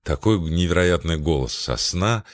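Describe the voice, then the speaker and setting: A man from Belarus, a voice-over announcer, answering interview questions thoughtfully, pausing to search for words. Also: deep voice, animated, no echo.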